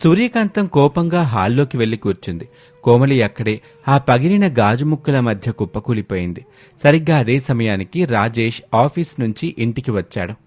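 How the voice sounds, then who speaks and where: A man's voice: medium pitch, sing-song, normal speed, darkish tone. A man narrating a scripted family moral story in a dramatic storytelling style.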